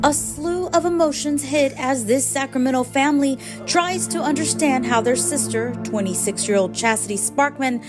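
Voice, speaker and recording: A woman's voice: high-pitched, moderate pace, few pauses, music in the background. A woman reading a scripted television news report.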